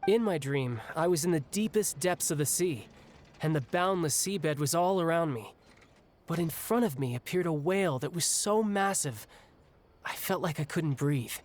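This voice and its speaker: An actor playing a young, restless, battle-hungry warrior in a video game, speaking in a breezy, reflective way. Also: male voice, a little high, animated, moderate pace, close to an everyday voice. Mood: pensive. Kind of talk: video game cutscene acting. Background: game music.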